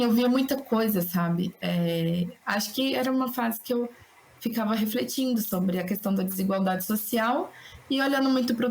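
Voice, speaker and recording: Talkative woman guest, Brasília Brazilian accent, hoarse with a cold, mid-range voice, musing and discursive in casual conversation. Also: medium pitch, darkish tone. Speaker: singer-songwriter, podcast guest. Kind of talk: casual reflective interview chat.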